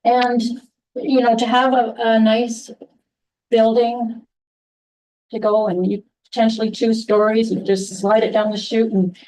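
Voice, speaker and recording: Soft voiced lady talking to town council, lots of ums and restarts, kinda far from the mic. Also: fairly high pitch, little pitch movement. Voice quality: soft-spoken. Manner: halting, many restarts and fillers. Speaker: resident addressing town council. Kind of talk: public comment at a council meeting.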